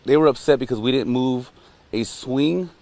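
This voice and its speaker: Mid-pitched man, terse answers. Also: normal speed, dark tone. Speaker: tree service contractor.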